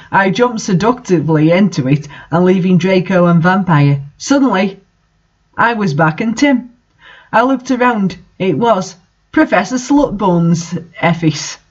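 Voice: medium pitch, animated, measured pace, muffled recording. A host reading fan fiction aloud in an amateur dramatic style, with the odd aside.

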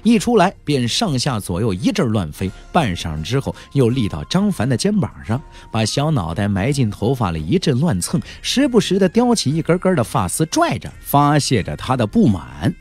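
Husky, highly animated male audiobook storyteller. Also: normal speed, hardly any pauses, soft background music. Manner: conversational storyteller with rhetorical questions and emphatic particles. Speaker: audiobook narrator. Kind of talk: dramatic scripted storytelling.

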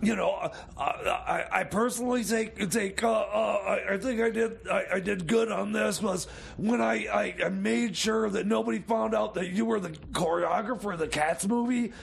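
A man doing a high-pitched, creaky, rambling stoner. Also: moderate pace, hardly any pauses, full character voice. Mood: giddy, boastful. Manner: rambling, stammering, repeats himself. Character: rambling, stoned, excitable male time-traveling sidekick. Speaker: co-host character on a comedy radio show. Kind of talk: improvised comedy radio theater.

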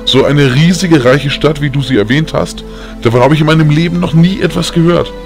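A man voicing a caring, weary old grandfather in an audiobook, gentle and measured in his telling. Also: a little high, faint music behind, natural voice. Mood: reassuring. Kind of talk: audiobook character acting.

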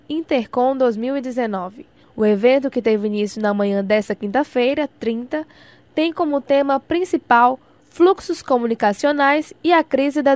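A woman's voice: on the high side, no room sound. A woman with a Brazilian accent reading a scripted radio news report as a reporter.

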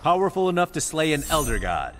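Confident swordsman, lively pitch. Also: fast, slight background noise. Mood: assured. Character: calm, confident blind swordsman. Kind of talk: fighting-game acting.

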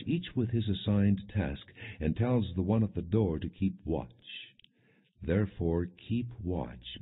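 A man narrating an audiobook of scripture in a solemn, measured, earnest way, with a husky voice. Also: low voice. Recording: muffled, phone-like narrow sound.

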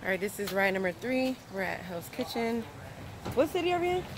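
A woman's voice: medium pitch, very animated, brisk. A young woman vlogging casually from a motorcycle ride, easygoing and slangy. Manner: easygoing, laughs often, slangy. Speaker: motovlogger host. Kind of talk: casual ride vlog chat. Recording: loud road and wind noise.

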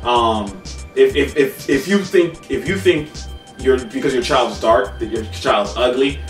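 A man in his thirties co-hosting a casual, opinionated discussion, halting and repeating words as he makes his point. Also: mid-range voice, soft background music. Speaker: co-host of online discussion show. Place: small room at home, indoors. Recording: echoey home room, distant mic.

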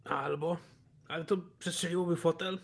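A man's voice: on the high side, steady tone, moderate pace, vocal fry. A man playing a tabletop role-playing game, casually talking through ideas. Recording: noticeable background noise.

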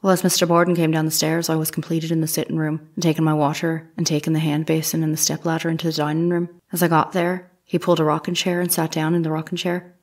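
A woman's voice: deep voice, flat delivery, quick pace. A woman playing a plain-spoken nineteenth-century housemaid, testifying respectfully in a courtroom audio drama. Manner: plain, deferential. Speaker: actor.

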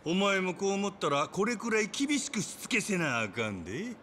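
An actor playing a menacing, manic yakuza brawler in a video game, with a Kansai accent and a drawling, mocking delivery that veers between quiet threat and shouting. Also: male voice, sits high, very animated, normal speed, a little hiss or hum, heavily acted. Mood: mocking, scolding. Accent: Kansai Japanese. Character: menacing, manic adult male yakuza brawler, gleefully taunting. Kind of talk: dramatic game cutscene acting. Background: background music.

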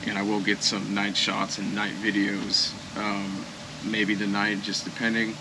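An American man in his thirties speaking casually and haltingly to camera as a vlog host, reflective, recorded in an open wooden shed outdoors. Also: lowish voice, completely flat delivery, noisy background.

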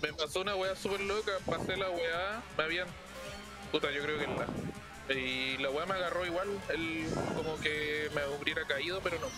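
A man's voice: on the high side, fairly even intonation, moderate pace, over loud music. A man chatting casually during a game, teasing and swearing lightly. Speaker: friend playing along. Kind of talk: casual gaming chat.

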